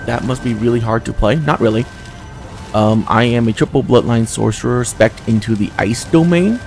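A man's voice: mid-range voice, normal speed, gravelly, darkish tone, soft background music. A man casually commentating on a video game as he plays, chatty and reactive. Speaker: gameplay commentator.

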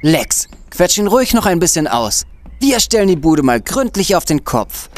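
An actor playing a calm, laid-back young male hacker in a comic radio play. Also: sits high, normal speed, a little hiss or hum.

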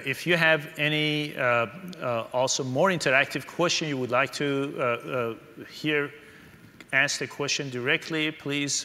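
Halting male panel moderator, bright voice. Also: a little high. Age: middle-aged. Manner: halting, hesitant phrasing with many pauses. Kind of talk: moderating a conference panel, reading questions. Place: large conference hall stage. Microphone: podium microphone. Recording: hall reverb from stage PA.

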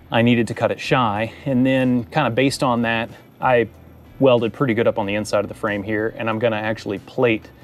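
A man in his thirties, hosting his own car build channel, casually explaining his work with fillers and restarts, filmed by a nearby camera. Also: mid-range voice. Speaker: car builder and shop host. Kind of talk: casual how-to walkthrough. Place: outdoors under a truck, then a home garage.

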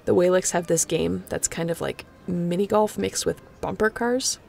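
A woman's voice: on the low side, moderate pace, vocal fry, dark tone, faint music behind. A woman playing a snarky, affectionate but guarded space captain in an intimate sci-fi audio roleplay, talking in a casual, teasing way. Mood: playful, eager.

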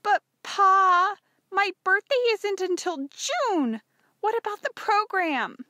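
Woman doing a young farm girl for an audiobook, high lively voice, eager n emotional, really earnest. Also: moderate pace, no room sound, studio quality. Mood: pleading, upset. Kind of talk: audiobook character voice.

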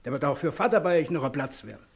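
A husky, gossipy rustic storyteller. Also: male voice, on the high side, expressive, heavily acted. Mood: amused. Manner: folksy, sly, drawn-out storytelling. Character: gossipy, teasing brother-in-law, rustic storyteller. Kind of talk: 1960s German radio drama acting.

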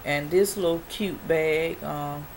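A woman's voice: low-pitched, takes it slowly. A woman in her forties showing off mail she received, chatty and rambling with many ums, her voice a little worn. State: losing her voice. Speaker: product review vlogger. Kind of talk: casual show-and-tell haul video. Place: small dim bedroom, indoors. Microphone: built-in webcam mic at arm's length. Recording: noisy webcam recording.

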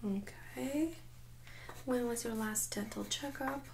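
A woman in her thirties speaking gently and very softly, often near a whisper, in an ASMR medical role-play as an examiner. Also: a little high, slow, gravelly, noisy background. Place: small indoor room set up as exam office.

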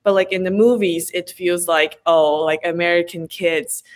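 Guest: twenties woman from China, lower voice, chatty with fillers, laughing often, video call. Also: fairly even intonation, moderate pace. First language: Chinese. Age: 20s. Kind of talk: casual interview chat. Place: home room with kitchen shelves. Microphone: video call, laptop camera.

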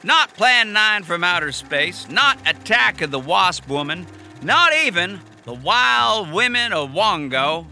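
An actor playing a showy, grandiose drive-in emcee in a comic audio drama, announcing theatrically. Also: male voice, high voice, very animated, slow, bright, forceful tone, exaggerated voice. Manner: hammy, grandiose. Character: showy male drive-in emcee telling corny jokes.

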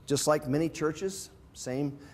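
Older guy, pastor type, explaining stuff to a small class, voice a bit on the high side. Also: slow, a little mellow. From from abroad, visiting South Africa. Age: older, around 60. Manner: explanatory, plain-spoken. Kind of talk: informal teaching talk to a group. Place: indoor classroom with whiteboard and screen. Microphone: lapel mic.

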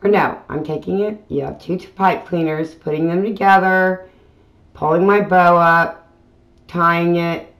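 A woman hosting a craft channel, talking through a tutorial in a casual voiceover with plain, unhurried step-by-step explaining. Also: fairly low pitch, steady tone, a bit bright. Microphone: voiceover mic.

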